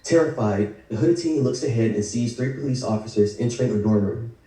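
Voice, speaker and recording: Very dark-voiced man narrating, echoey room. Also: medium pitch, fairly quick. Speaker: script narrator. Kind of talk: reading screenplay action lines at a table read. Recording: very echoey room, distant mic.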